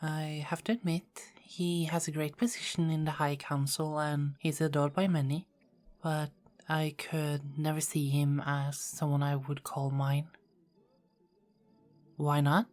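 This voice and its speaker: A woman playing a caring, dutiful young queen in an ASMR roleplay, speaking formally and softly with small hesitant stammers. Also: sits low, level tone, unhurried. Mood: confiding, disapproving. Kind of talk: ASMR roleplay, scripted character acting.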